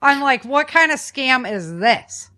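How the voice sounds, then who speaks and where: A woman hosting a podcast in casual conversation, talking in an informal, slangy and expressive way. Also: sits high, measured pace. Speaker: podcast host. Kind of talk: casual chat about preparedness.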